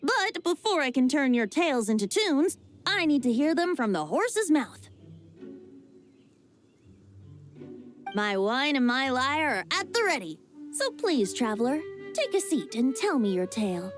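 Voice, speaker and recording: A woman's voice: sits high, sing-song, normal speed, faint music behind. A woman playing a youthful male bard in a video game, playful and theatrical, like a whimsical storyteller. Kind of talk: animated video game voice acting.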